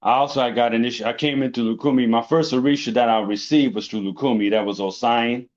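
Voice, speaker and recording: A man, a podcast guest, telling his spiritual journey in a relaxed, storytelling way, often checking in with "you know" and "right". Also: mid-range voice, fast, lo-fi sound. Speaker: interview guest. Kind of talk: casual conversation.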